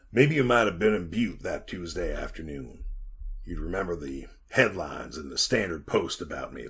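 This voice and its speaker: An actor playing a haunted, bitter man who narrates a retro radio drama in a brooding, deliberate way with dramatic pauses. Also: sits low, gravelly, fairly bright tone, husky, heavily acted. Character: haunted, bitter man recounting being lost in a mine.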